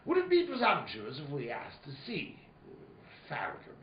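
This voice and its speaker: Older noir villain, jovial and florid, theatrical higher-set voice, muffled audio with faint noise. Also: male voice, wildly swooping pitch, reverberant. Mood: genial, storytelling. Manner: florid, courteous, theatrical drawn-out phrasing. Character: jovial, crafty, pompous older villain obsessed with a treasure. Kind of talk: old-time radio noir acting.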